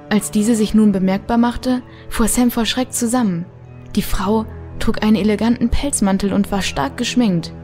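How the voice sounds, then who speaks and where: A woman's voice: a little high, warm tone. A woman narrating a radio play for children in a scripted storytelling style.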